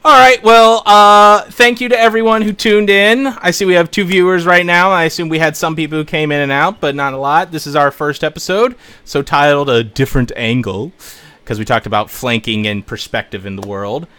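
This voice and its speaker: A man hosting a casual tabletop gaming podcast, relaxed and joking as he chats with his co-hosts. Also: on the high side, a little fast, smooth. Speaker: podcast host.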